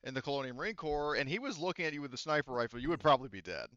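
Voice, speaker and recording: Casual man running a game, crisp close sound. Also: mid-range voice, animated, racing, fairly bright tone, no echo, dull audio. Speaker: game master. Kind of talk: casual tabletop game narration.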